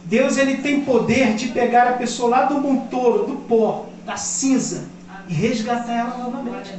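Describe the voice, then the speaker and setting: A man in his fifties, a pastor from Brazil, preaching a sermon that swells louder on key points. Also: very high-pitched, moderate pace. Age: 50s. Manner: impassioned preaching, rising loud on key points. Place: church. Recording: echoey church hall, mic at a distance. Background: congregation responding.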